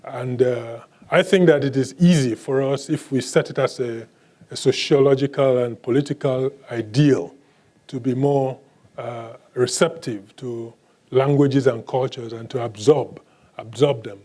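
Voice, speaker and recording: A male author whose first language is Igbo answers audience questions at a book talk, speaking in a measured, halting way with many fillers and restarts and a dry, self-mocking humor. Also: mid-range voice, steady tone, darkish tone.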